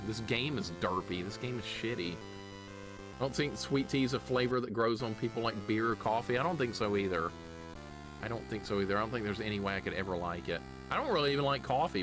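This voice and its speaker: A man's voice: mid-range voice, rough recording. An American man in his forties from the southern US, chatting casually with viewers and grumbling in sweary, joking exasperation as he plays a video game. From southern United States. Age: 40s. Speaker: live streamer playing a video game. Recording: game music behind the voice. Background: retro video game music.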